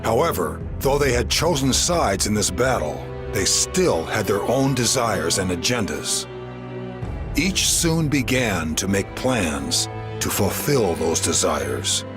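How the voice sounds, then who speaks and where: A grave storyteller recounts an ancient war for a game. He is low-pitched and measured, with wide swells of intonation, over prominent music. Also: very animated. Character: solemn male storyteller of ancient Chinese war chronicle. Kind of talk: scripted narration. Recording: voice under loud music.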